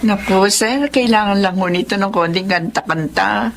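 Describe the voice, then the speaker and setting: A woman's voice: mid-range voice, normal speed, creaky, slight background noise, lightly acted. A woman playing a humble, devoted nanny in a Filipino TV melodrama, speaking politely and deferentially with a Filipino accent. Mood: soothing. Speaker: actor in a drama.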